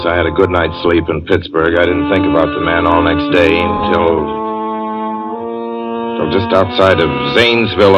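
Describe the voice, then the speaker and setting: A man's voice: deep voice, rough recording. An actor playing a haunted, anxious motorist in a 1940s radio drama, brooding as he narrates and hesitant when he speaks to others. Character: haunted, anxious male motorist telling his own eerie tale. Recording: vintage 1940s radio recording with music.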